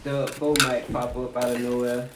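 A man's voice: medium pitch, little pitch movement, moderate pace, far from the mic. A man joking around in casual, slangy chat with a teasing way of talking. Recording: echoey room, loud background noise.

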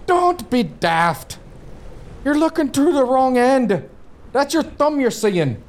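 A man's voice: extremely high pitch, very animated, takes it slowly, exaggerated voice. A man playing a hearty, food-loving, teasing sailor who talks colloquially in a comic audio drama. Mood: teasing, exasperated. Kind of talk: gothic comedy audio drama dialogue.

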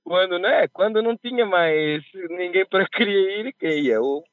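Portuguese man on a remote call, slightly high voice, thin phone-line sound, chatty storyteller who laughs at himself. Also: normal speed, dry recording. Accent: Portuguese, softened by years in Brazil. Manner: chatty, laughs at his own mishaps. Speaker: podcast guest. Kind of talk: casual storytelling.

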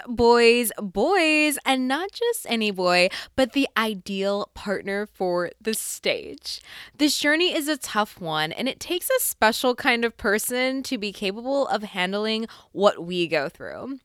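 A woman speaks as a podcast host, introducing and interviewing. Her voice sits fairly high. The sound is dry, with almost no room around it. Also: sing-song, slow pace, a bit bright, clean tone, dry recording.

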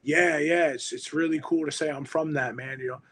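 Slangy New man, husky voice. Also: on the high side, fairly quick. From Hudson County, New Jersey. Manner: slangy, animated, heavy swearing and "yo". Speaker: musician guest. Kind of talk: casual podcast chat.

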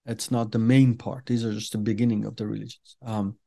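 A middle-aged man from Iran, a native Farsi speaker, giving an earnest personal testimony as an interview guest, speaking deliberately with many pauses and fillers. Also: on the low side, flat delivery, gravelly, dark tone.

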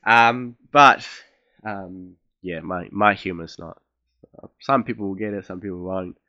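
A man's voice: deep voice, measured pace, bright, forceful tone, dry recording, muffled recording. A young man from Chile hosting his own podcast, talking in a rambling, hesitant, self-deprecating way, with an accent that blends American and Australian. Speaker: podcast host. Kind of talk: casual solo podcast monologue.

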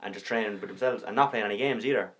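A man's voice: fairly low pitch, racing, a bit bright. A man co-hosting a casual podcast, thinking aloud in long explaining turns. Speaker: podcast co-host. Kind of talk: casual chat.

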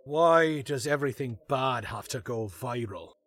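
A man's voice: medium pitch, expressive, measured pace, a bit bright, dry recording. A man playing a smug, sleazy, self-absorbed political staffer in an audio comedy, with a disdainful manner. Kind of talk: audio comedy drama acting.

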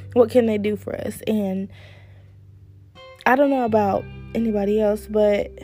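A young woman hosting her own podcast, talking candidly and casually with many fillers, her voice a little hoarse. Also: on the high side, dark tone. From United States. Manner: chatty, candid, lots of fillers. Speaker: podcast host. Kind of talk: casual solo talk.

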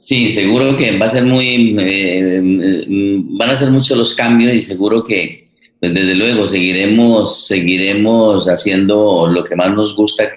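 A man with a Colombian accent hosting a conversational interview, rephrasing haltingly as he goes. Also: deep voice. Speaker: forum host, interviewer. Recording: roomy, echoing sound.

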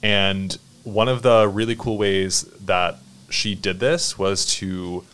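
A man hosting a podcast conversation, speaking in a measured, thoughtful way, asking reflective questions and introducing segments. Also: low-pitched, steady tone, fairly bright tone, clear, resonant tone. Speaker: podcast host.

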